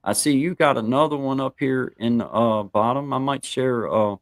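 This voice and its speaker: A man's voice: mid-range voice, moderate pace, no echo. Dry, laid-back male co-host. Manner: friendly, thankful, rambling with fillers. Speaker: co-host of a live morning show. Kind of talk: casual live-stream chat.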